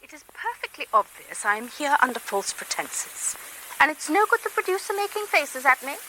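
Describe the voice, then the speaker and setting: A woman's voice: sits high, very animated, moderate pace, sharp tone, a little hiss or hum, full character voice, low-quality recording. An actress playing a wry, self-deprecating middle-aged British lady psychologist, narrating a radio drama in a chatty, confiding way. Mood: wry, candid. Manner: chatty, dryly humorous, confiding.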